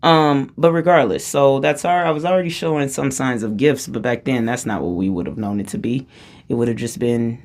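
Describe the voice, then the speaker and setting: Low-voiced woman telling a rambling story. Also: a little fast, a little mellow. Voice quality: low-register voice. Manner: rambling, self-interrupting, laughs often mid-sentence. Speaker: vlogger. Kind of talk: casual personal storytelling.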